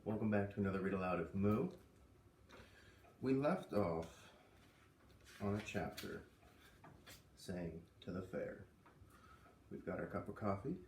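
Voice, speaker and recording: A man reads a children's story aloud with expression, giving the dialogue a light lift. His voice sits at some distance from the microphone, with clear room echo around it. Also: low-pitched, unhurried, long pauses, a little mellow, a little hiss or hum. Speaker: reader of a children's book read-aloud series. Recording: roomy, voice away from the microphone.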